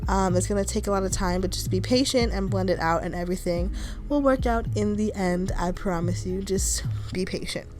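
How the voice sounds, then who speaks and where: A woman's voice: mid-range voice, fairly quick, a little mellow, soft background music. A young woman with a stuffy nose from a cold, narrating a makeup tutorial in a chatty, reassuring, casual way. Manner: chatty, reassuring, with frequent "like" and "kinda". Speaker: beauty vlogger.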